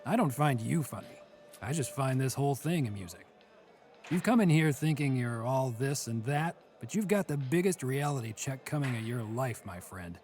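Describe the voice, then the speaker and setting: A man's voice: medium pitch, animated, moderate pace, darkish tone, faint music behind, lightly acted. Man acting a weary, stern seasoned prisoner. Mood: amused menace. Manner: stern, weary, dry, exasperated. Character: world-weary, gruffly cautioning seasoned male prison cellmate.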